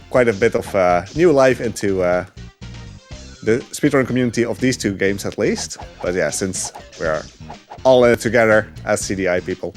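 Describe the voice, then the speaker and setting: A man commentating on a speedrun from his couch at home, chatty and relaxed with lots of fillers. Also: lowish voice. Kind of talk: casual gameplay commentary. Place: home living room couch.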